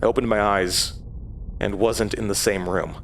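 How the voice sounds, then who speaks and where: Horror audio drama lead, male actor: haunted first-person narrator, lowish voice, measured and hesitant. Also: fairly even intonation, slight background noise, lightly acted. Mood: haunted, tense. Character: haunted man recounting his horrifying past.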